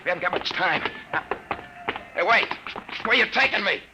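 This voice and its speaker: An actor playing an agitated, streetwise private detective in a vintage radio drama. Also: male voice, sits high, animated, moderate pace. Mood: urgent. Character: desperate, hard-boiled male private detective. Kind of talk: 1950s radio drama acting. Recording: noisy vintage radio recording.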